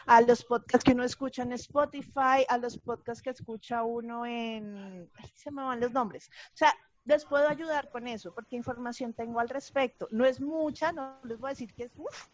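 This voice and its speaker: A woman's voice: a little high, fairly even intonation, moderate pace. A woman with a Colombian accent explaining things casually in an online meeting. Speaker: panel participant in an arts collective discussion. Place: online video call. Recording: dull, muffled video-call audio.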